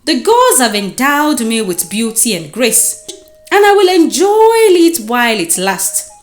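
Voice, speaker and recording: Woman acting a haughty, high-pitched young village beauty. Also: big pitch swings, unhurried, soft background music. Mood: boastful. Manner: haughty, dramatic. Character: proud, vain, defiant young village beauty.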